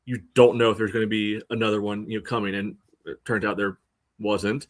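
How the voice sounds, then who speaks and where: Guy with a lowish voice breaking down sports, casual, stop-start phrasing, lots of filler. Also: level tone. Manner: halting, peppers speech with "you know". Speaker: sports podcast co-host. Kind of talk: casual sports analysis chat.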